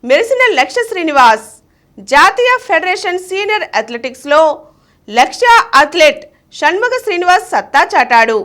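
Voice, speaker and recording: A woman's voice: very high voice, big pitch swings, moderate pace, fairly bright tone. A woman reading a scripted news bulletin as a news reader.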